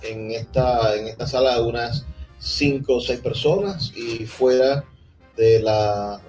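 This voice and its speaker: A man hosting a book presentation, introducing it in a halting, informal way. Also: medium pitch, darkish tone, soft background music, poor audio. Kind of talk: live event introduction.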